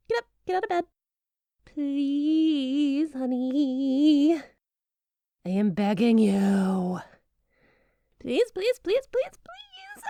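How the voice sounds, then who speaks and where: A woman's voice: high-pitched, mellow, no echo, heavily acted. A woman playing a flirty, clingy wife with magic snow powers in a scripted ASMR roleplay, playful, coaxing and theatrically dramatic. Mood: pleading, whiny.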